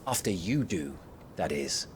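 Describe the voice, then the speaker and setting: An actor playing a wry, weathered sergeant in a video game, speaking laconically. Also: male voice, low voice, big pitch swings, unhurried, background noise. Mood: dry resolve. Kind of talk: dramatic video game acting.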